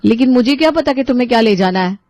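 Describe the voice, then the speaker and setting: A woman's voice: on the high side, animated, fast, darkish tone, no echo, lightly acted. A woman playing a resentful, put-upon young sister-in-law in a dramatized family story, sarcastic and grudgingly polite. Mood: exasperated. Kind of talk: dramatized storytelling with asides to listeners.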